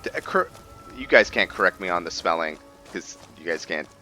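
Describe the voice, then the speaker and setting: A man giving casual gameplay commentary on a roleplay, thinking aloud and often pausing to find his words. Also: deep voice, lively intonation, pushed and bright, faint music behind. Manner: musing, thinking aloud with frequent hesitations. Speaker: gaming video host.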